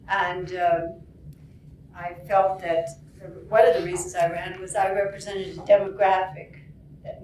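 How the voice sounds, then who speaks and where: A woman in her seventies from Alberta, telling her stories at a conference in a halting, informal way. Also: mid-range voice, darkish tone, a little hiss or hum. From Alberta, Canada. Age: 70s. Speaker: storyteller. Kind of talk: spoken storytelling. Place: conference room. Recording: roomy, distant from the mic.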